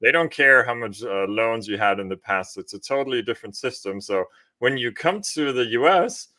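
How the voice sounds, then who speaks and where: Bright-voiced man chatting. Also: medium pitch, animated, normal speed. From Austria. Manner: informal, often checks with "right?". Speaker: podcast host. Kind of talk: casual conversational explanation.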